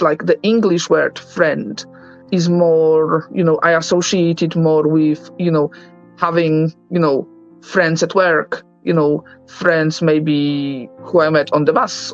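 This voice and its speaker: A woman speaks in a gravelly, unhurried way, pausing often and reaching for her words. Her voice comes through a dull, muffled film soundtrack, with soft music underneath. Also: deep voice. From Poland. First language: Polish. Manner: slow, thoughtful, searching for words with many fillers. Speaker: documentary interviewee. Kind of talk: reflective documentary interview.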